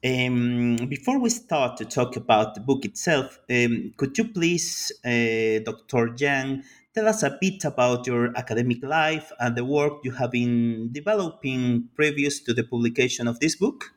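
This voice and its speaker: A man hosting an academic interview podcast, polite and formal, with some halting fillers. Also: medium pitch. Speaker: podcast host.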